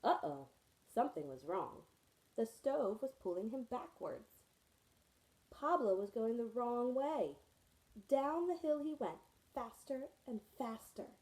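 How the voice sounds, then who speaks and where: A woman reads a children's picture book aloud to her students, and her mellow, soft-edged voice carries the story along. She storytells expressively and stops for friendly asides to her young listeners. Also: fairly high pitch, very animated, slow pace, rough recording. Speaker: teacher reading to her students.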